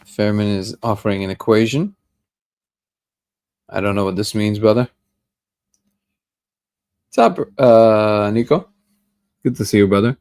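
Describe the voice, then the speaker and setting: A man's voice: lowish voice, a little slow, long pauses, darkish tone, clean tone. A man hosting a daily livestream, chatting casually and greeting viewers by name. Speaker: livestream host. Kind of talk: casual live chat reading comments.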